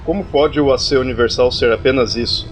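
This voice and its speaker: A man's voice: medium pitch, a little fast, soft background music, lightly acted. Man as earnest, questioning immortal mind. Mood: curious. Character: curious, anxious immortal disembodied human mind. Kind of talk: audio drama acting.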